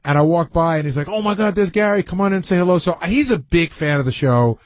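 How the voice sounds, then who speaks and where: Male radio staffer, quick, slightly high voice, casual anecdotes with quoted dialogue, dry studio. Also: no room sound. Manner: animated storyteller who acts out dialogue. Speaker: radio show producer.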